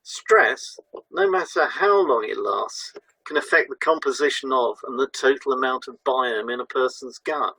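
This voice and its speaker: A man delivers a scripted lesson as a co-presenter. His voice is bright and forward at a middling pitch, recorded dry and close, and his reading is measured and formal. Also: creaky, husky, no room sound, rough recording.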